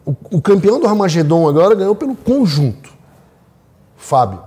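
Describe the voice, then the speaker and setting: Brazilian man, casual panelist, mellow timbre, very lively, repeats himself. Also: high voice, moderate pace. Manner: repeats words and phrases. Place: small indoor studio set.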